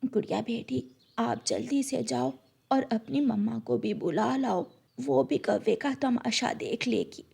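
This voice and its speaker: A woman voicing a kindly but shrewd elderly mother bird in a cartoon, speaking gently and affectionately. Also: on the high side, measured pace, gravelly, slightly rough, exaggerated voice. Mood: quietly scheming.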